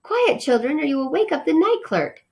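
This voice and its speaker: Woman as high-voiced, chiding mother mouse. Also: big pitch swings, moderate pace. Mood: firm, hushing. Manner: coaxing, chiding. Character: firm, scolding mother mouse, gently reasonable.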